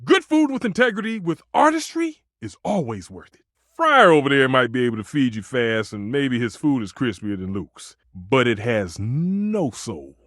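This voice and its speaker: A man's voice: mid-range voice, very animated, moderate pace, a bit bright, no room sound, studio quality. A man voicing a passionate, proud kitchen stove in a video game, a dramatic food purist who speaks emphatically and with grand flourishes. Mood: impassioned. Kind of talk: theatrical video game character dialogue.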